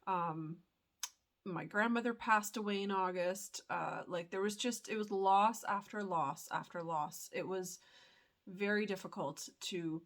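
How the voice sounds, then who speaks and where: A young adult woman with a stuffed-up voice from a cold, talking earnestly to camera in a reflective vlog with many pauses and fillers. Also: medium pitch, a bit bright. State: congested from a cold. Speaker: spiritual YouTuber.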